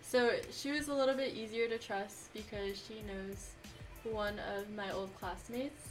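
A twenty-year-old woman co-hosting a podcast, who calls her voice naturally deep and talks in a steady, matter-of-fact way. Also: a little slow, over music, poor audio. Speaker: podcast co-host. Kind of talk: casual chat.

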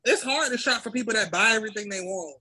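A man's voice: high voice, quick pace, bright, forceful tone, slightly rough. A young man with an American accent chatting casually as a podcast guest. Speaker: podcast guest, voice cast member. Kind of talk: casual chat.

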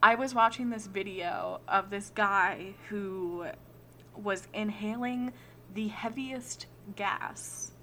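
A woman co-hosting a podcast, chatting in an animated, talkative way. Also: mid-range voice, takes it slowly, slight background noise. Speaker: podcast co-host. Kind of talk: casual chat.

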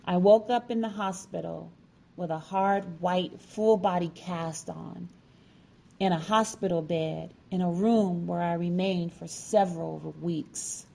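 A woman narrating a personal story from a script, with deliberate, dramatic phrasing. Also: lowish voice, steady tone, gravelly, mellow. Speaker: featured storyteller.

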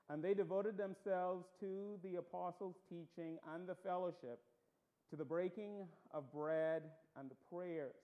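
A man's voice: high-pitched, muffled recording. A man with a light Bahamian accent preaching a sermon as pastor, conversational with many ums and restarts, rising to emphatic stresses.

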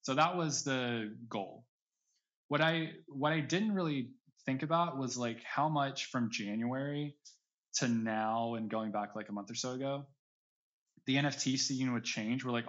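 A man's voice: mid-range voice, fairly bright tone, muffled recording. A man speaking casually as an interview guest, in an informal way peppered with "like" and "right". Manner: informal, heavy use of "like" and "right". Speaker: guest, NFT project creator.

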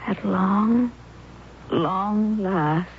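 An actress playing a remorseful woman with a guilty secret, in confessional, halting phrases in an old-time radio drama. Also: medium pitch. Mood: anguished, confessional. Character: remorseful woman in self-imposed exile, secretly alive. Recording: muffled old radio recording, faint hiss.

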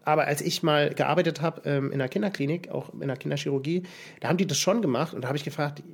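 A man's voice: on the high side, fast talker, creaky, slightly rough. A young man co-hosting a podcast in casual chat, hesitant, with many fillers and restarts. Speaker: podcast co-host.

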